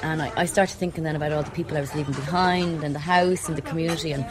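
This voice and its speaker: A woman's voice: low voice, fairly even intonation, rapid-fire, a crowd in the background. A chatty Irish woman in her thirties from Dublin, telling stories animatedly and laughing often. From Ireland, Dublin. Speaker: wife moving house. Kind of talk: casual chat.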